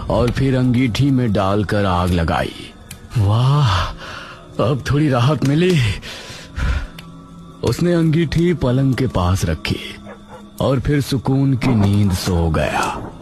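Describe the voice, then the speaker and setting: A man's voice: lowish voice, lively intonation, takes it slowly. A man narrating a scripted cartoon story in a dramatic storytelling manner. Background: music.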